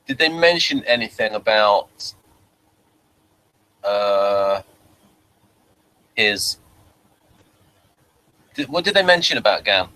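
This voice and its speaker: A man's voice: lowish voice, animated, takes it slowly, long pauses, bright, forceful tone, poor audio. A man hosting a review show in casual, conversational chat.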